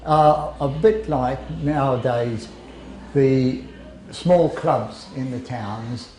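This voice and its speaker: A man's voice: medium pitch, extremely slow, a little mellow, echoey room, slight background noise, low-quality recording. An older man from Jersey reminiscing in a rambling, reflective way. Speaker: interviewee.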